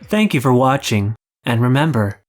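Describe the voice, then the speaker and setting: A man's voice: medium pitch, big pitch swings, moderate pace, faint music behind. A man hosting an interview podcast, reading scripted questions and announcements.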